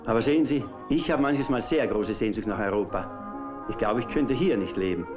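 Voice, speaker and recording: Actor as wistful lover, muffled old film sound. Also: male voice, on the low side, moderate pace, over music, close to an everyday voice. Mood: wistful, tender. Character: homesick, tender emigrant man in old romance film. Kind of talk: 1930s film acting.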